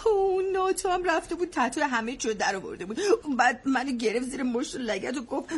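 An actress playing a frightened young woman who confesses her guilt in halting, tearful bursts. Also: high-pitched, animated, husky. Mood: confessing. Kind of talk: radio drama acting.

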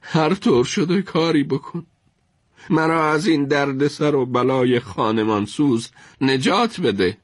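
A man's voice: fairly high pitch, no echo, clean studio sound, voice pushed up, close to an everyday voice. A man playing a troubled, commanding caliph in a dramatised historical story, speaking in formal, emphatic literary phrases. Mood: angry, pleading.

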